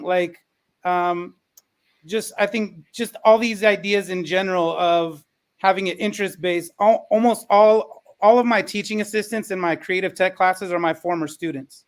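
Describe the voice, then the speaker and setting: A man's voice: high-pitched, smooth. A man who teaches, moderating a panel discussion in a conversational way.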